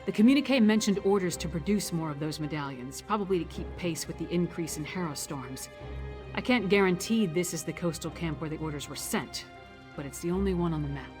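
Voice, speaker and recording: Studious, earnest male quest companion acted by a man, somewhat high-pitched, over clear game music. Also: brisk, natural voice. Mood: concerned, focused. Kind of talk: video game quest dialogue.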